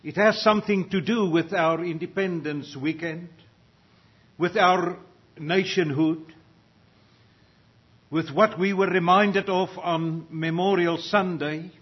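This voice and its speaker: A man's voice: high voice, steady tone, breathy edge. An older man from Africa, preaching a sermon earnestly and reading scripture aloud. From South Africa. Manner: slow, earnest delivery, rising in volume for readings. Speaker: pastor. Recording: dull lo-fi sound.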